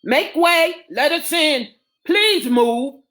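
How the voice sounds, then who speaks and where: A woman's voice: fairly high pitch, expressive, unhurried, fairly bright tone. A woman voicing a male doctor in an audiobook, firm and urgent yet soothing. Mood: commanding.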